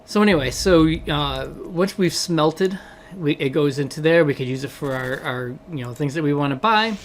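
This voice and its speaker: Hoarse man walking through his game. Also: on the high side, normal speed, a little hiss or hum. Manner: rambling, many fillers and "right?" tags. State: hoarse from a cold. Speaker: game developer. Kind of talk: casual game walkthrough.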